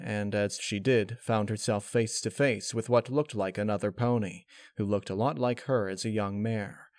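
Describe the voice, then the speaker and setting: A man's voice: lowish voice, a little mellow, no echo. Dry, close male story narrator. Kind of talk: scripted fan fiction narration.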